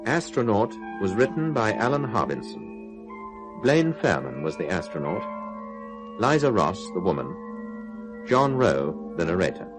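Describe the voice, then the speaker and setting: A man's voice: fairly low pitch, sing-song, a little slow, pauses often. A man reading scripted closing credits for a radio play as an announcer, with music. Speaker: continuity announcer.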